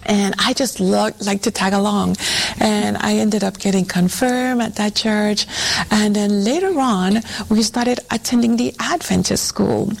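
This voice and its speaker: Female guest, conversational testimony style, medium pitch with plenty of creak. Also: measured pace, rough recording. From Trinidad and Tobago. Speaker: guest, church women's ministry coordinator. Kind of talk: conversational personal testimony in an interview.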